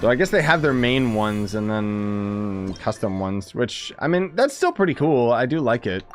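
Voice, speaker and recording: A man's voice: mid-range voice, sing-song, normal speed, slight background noise. A man giving casual, slangy live commentary as he plays a game and chats with viewers. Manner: informal, slangy, exclaims and chuckles while playing. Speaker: gaming streamer.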